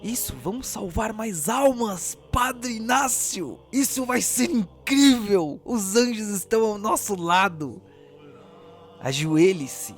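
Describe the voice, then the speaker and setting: A man's voice: sits high, lively intonation, slow pace, faint music behind, voice pushed up. A man playing a zealous, mocking cult priest who serves angels, preaching with condescension in an audio drama. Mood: fervent. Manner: condescending, preachy, pleading then menacing.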